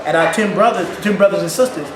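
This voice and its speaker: A man's voice: high-pitched, quick pace. A man born in Ghana and raised in St. Louis with a St. Louis accent, a percussionist chatting animatedly as an interview guest. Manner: animated, laughing, repeats words. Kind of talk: casual interview. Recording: echoey room with loud background noise.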